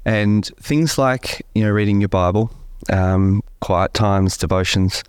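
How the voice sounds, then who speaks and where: A man co-hosting a faith podcast, slightly croaky from a cold, talking in a relaxed way with many fillers. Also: fairly low pitch, measured pace, no room sound, clean studio sound. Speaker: podcast co-host. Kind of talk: casual faith podcast chat.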